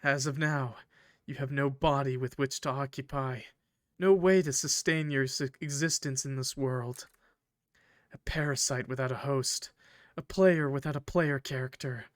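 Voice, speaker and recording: A man voicing a possessive, coldly polite villain from a visual novel, speaking formally and theatrically in measured, condescending phrases. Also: medium pitch, steady tone, no room sound, clean studio sound, natural voice. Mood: cold, accusing. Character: possessive, coldly polite male villain, eerie train conductor. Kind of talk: visual novel character reading.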